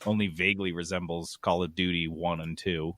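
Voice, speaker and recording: Bright-voiced man, excitable podcast host. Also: sits low, a little fast, dry recording, clean studio sound. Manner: sweary, excitable. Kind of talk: casual, animated gaming chat.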